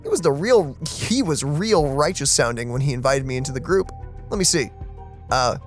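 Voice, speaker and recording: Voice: mid-range voice, animated, normal speed, faint music behind. A let's play streamer giving casual, playful, reactive game commentary and reading dialogue aloud.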